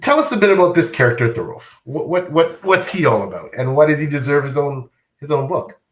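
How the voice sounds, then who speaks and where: A man hosting a casual live-stream interview, often halting and searching for words. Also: a little high, very animated.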